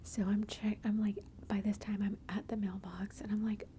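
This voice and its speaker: A woman telling a personal story in a soft, chatty whisper, ASMR style. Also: medium pitch, little pitch movement, normal speed, a little hiss or hum. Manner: soft unvoiced whisper throughout; chatty, conversational asides.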